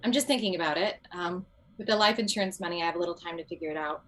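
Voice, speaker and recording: A woman's voice: on the low side, very fast, a bit bright, a little hiss or hum, close to an everyday voice. A woman playing a dry, hesitant middle-aged widowed mother who used to do standup, in a comedy table read. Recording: video call.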